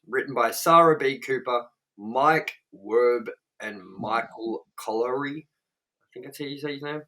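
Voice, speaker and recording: Young male host, mid-pitched, blunt and sweary, casual review banter. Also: fairly bright tone, low-quality recording. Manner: swears a lot, blunt and frank.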